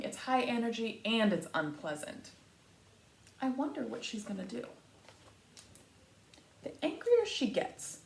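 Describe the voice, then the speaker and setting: A woman reading a picture book aloud to young children like a teacher, with expressive, emphatic phrasing and explanatory asides. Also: a little high. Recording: echoey room, faint background noise.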